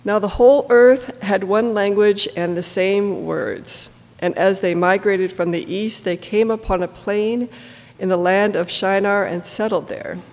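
A scripture reader with a stuffy, congested voice and a gravelly edge, reading at an even pace. The sound is picked up across a large church sanctuary, with a long echo trailing each phrase. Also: medium pitch, a little slow. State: stuffy from a cold. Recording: echoey church from a distance.

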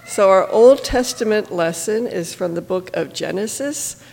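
An adult with a stuffy cold, reading scripture in a church in a steady, measured way. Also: medium pitch, creaky. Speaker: scripture reader. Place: church sanctuary. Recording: echoey church from a distance.

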